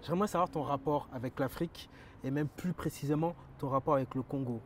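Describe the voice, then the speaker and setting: A man's voice: on the high side, a little fast, a little hiss or hum. A man interviewing a guest, asking questions and encouraging him with short acknowledgments.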